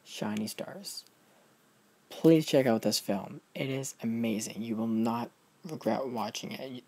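A man's voice: medium pitch, darkish tone. Gushing man reviewing a film. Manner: gushing and emphatic, with frequent restarts. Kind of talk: casual movie review vlog.

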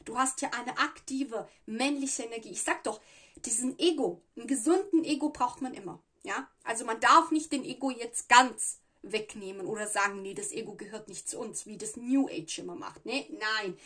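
A fairly high, breathy woman talks through a tarot spread to camera. She chats along loosely, pausing to search for words and tossing in little "ja" fillers. It sounds like a small room at a table. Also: fairly bright tone. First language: Italian. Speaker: tarot reader. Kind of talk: casual tarot reading to camera.

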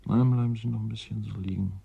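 A brooding, resigned scientist in a science fiction radio play. His voice sits low and is gravelly and husky. He speaks slowly and deliberately, weighing each phrase. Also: fairly even intonation, dry recording, highs cut off, full character voice. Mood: musing, lecturing. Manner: slow, halting, deliberate. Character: brooding male scientist, tender, resigned theorist. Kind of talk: German science fiction radio drama acting.

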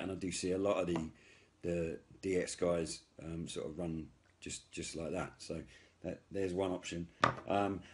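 A middle-aged British man giving a casual, unscripted product demonstration in a matter-of-fact, slightly hesitant way. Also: low voice. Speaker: product reviewer, radio hobbyist. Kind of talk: casual hands-on product review. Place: indoors at a wooden table by a window. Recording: faint steady noise, handling clicks.

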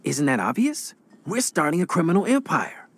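A man's voice: fairly high pitch, sing-song, moderate pace, fairly bright tone, exaggerated voice. An actor playing a cocky, reckless young man with crime boss ambitions in a video game cutscene. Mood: confident, rallying.

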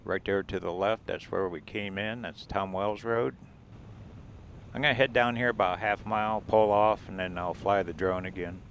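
A man's voice: lowish voice, moderate pace, slight background noise. A man vlogging about RV travel, narrating casually as he drives desert roads and points out the sights. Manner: relaxed, rambling, points out sights as he goes. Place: inside a vehicle driving desert roads.

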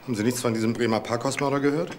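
A man's voice: medium pitch, steady tone, racing, noisy background, natural voice. A man playing a terse, probing police detective in a crime drama. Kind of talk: German TV crime drama dialogue.

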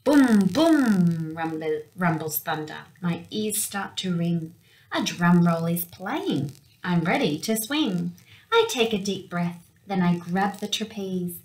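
Slow-paced young woman reading a children's story. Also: lowish voice, rough recording. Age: young adult. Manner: expressive, with acted-out sound words. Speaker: storyteller reading a picture book. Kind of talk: children's read-aloud. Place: small indoor room with window blinds.

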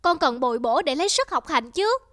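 Woman voicing a petulant high-voiced young son. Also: very animated, a little fast, a bit bright, a little hiss or hum. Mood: sulky. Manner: petulant, complaining. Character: selfish, whining, demanding young adult son. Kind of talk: animated moral-tale dialogue.

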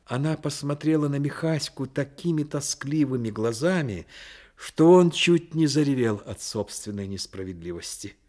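A man narrating a Soviet radio play as a grave, measured storyteller. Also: mid-range voice, expressive, a little mellow, lightly acted. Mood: grave, lyrical. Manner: measured, literary delivery. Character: grave male storyteller of a childhood tale.

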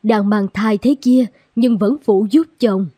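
A woman's voice: mid-range voice, measured pace, mellow, slightly rough, dry recording. A woman voicing a humble, troubled middle-aged servant mother in a cartoon fairy tale, earnest and pleading. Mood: earnest persuading.

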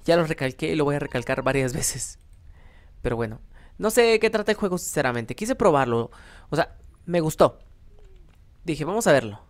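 A man's voice: a little high, big pitch swings, moderate pace, pauses often. A young adult man with a Mexican accent giving casual, self-deprecating gameplay commentary, rambling and thinking aloud. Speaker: gaming YouTuber, host. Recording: background music under voice. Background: music.

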